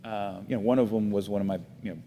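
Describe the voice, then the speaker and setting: Man, middle-aged, from Miami, low voice, reflective, many fillers, echoey room. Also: steady tone, fast talker, dark tone. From Miami, United States. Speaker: guest, foundation CEO. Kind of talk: casual interview talk. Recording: roomy reverb, faint rumble.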